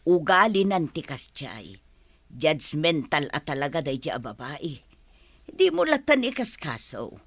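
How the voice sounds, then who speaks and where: A motherly middle-aged landlady acted by a woman from a radio-style drama, with an Ilocano accent. Her soothing talk moves with lively pitch, in a dry, close recording. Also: unhurried, no echo, full character voice. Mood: reassuring. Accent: Filipino Ilocano. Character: motherly, reassuring older landlady with a boarder. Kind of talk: audio drama acting.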